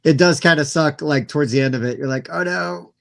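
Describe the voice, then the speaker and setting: Man, podcast host, slightly high voice, effusive, joking, casual chat. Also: brisk, vocal fry. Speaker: show host.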